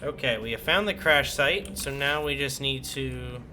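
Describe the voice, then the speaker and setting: A man's voice: medium pitch, normal speed, noisy background. A man giving casual gameplay commentary as a streamer. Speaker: gaming streamer.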